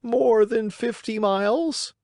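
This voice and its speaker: A narrator playing a large, kindly pigeon in a children's storybook, calm and helpful with a measured delivery. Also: medium pitch, dry recording, clean studio sound, pitched above the performer's own voice. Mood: grave, informative. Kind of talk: children's storybook character reading.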